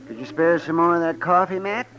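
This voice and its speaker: An actor playing a crusty, wry frontier town doctor in a 1950s radio western, with a droll, theatrical delivery. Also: male voice, on the high side, fairly quick, a bit bright, highs cut off, natural voice. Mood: grumbling.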